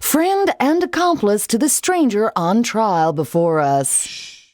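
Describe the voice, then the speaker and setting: Animated, gushing vapid news anchor. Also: female voice, medium pitch, slow pace, no room sound, studio quality. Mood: bright, gushing. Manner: perky, gushing. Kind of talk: parody news broadcast in radio drama.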